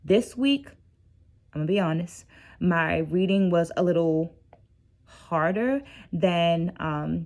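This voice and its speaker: Middle-aged woman, slightly low conversational voice. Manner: conversational teacherly, often checks in with "right?". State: tired. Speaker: dance educator and video host. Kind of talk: casual reflective book-discussion vlog.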